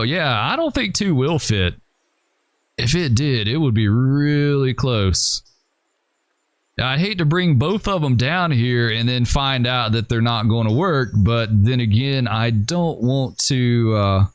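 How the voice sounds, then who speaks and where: A man talks through a train simulator game at an everyday middle pitch, rambling and hesitant as he thinks aloud. His voice is a little hoarse, and the recording is dry with no room sound. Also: animated, a little slow, no room sound. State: losing his voice. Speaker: gaming channel host. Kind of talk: casual gameplay commentary.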